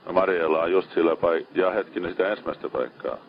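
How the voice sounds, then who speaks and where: Male ship officer, extremely low voice, coordinating over a dull marine radio link. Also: creaky, background noise. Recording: muffled, band-limited marine radio transmission.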